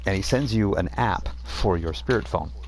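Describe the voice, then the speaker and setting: A male game master describes the scene in a loose, rambling way, with plenty of fillers. His voice is very deep, and a faint hum sits underneath. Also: moderate pace. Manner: rambling, filler-heavy narration. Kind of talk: narrating a tabletop game.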